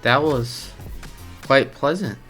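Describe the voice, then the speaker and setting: A man with a bright, forceful voice of average pitch hosts a casual reaction video. He talks informally and jokes around. Music plays behind him. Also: slow.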